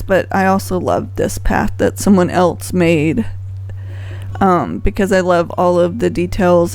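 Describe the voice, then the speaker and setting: A woman's voice: medium pitch, poor audio. A woman giving casual, unscripted gameplay commentary as a video host, rambling conversationally and thinking aloud. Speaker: video host showing a game island.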